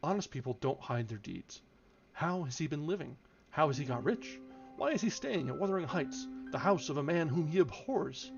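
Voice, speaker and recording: Man reading as a frank storybook servant woman, earnest, sensible, fairly high voice, music behind. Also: expressive, normal speed, gravelly, dull audio. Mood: earnest caution. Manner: earnest, plain-spoken. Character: sensible, frank female household servant giving advice.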